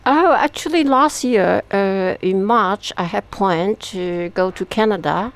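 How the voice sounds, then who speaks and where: A woman from Taiwan speaking as an interview guest in casual conversation, with halting, pause-filled non-native English. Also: mid-range voice. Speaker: interview guest, media artist.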